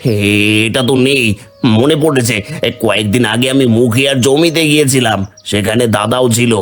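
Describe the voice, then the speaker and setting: A man's voice: mid-range voice, moderate pace, close to an everyday voice. A man playing a greedy, suspicious younger brother, a ruined village trader, in a cartoon fairy tale. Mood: agitated, demanding.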